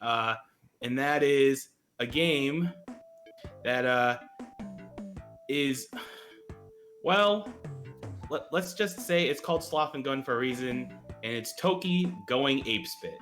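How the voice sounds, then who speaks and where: A man with an American accent, a guest game master explaining settings casually, relaxed and chuckly. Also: medium pitch, slow, long pauses, soft background music. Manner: relaxed, chuckling, hesitant with fillers.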